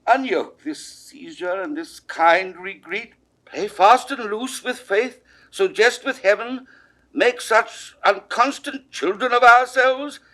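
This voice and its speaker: A man's voice: fairly high pitch, fairly bright tone. An actor playing a torn, earnest king, speaking in formal, rhetorical classical Shakespearean audio-drama style. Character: perplexed, earnest king of France, torn and pleading.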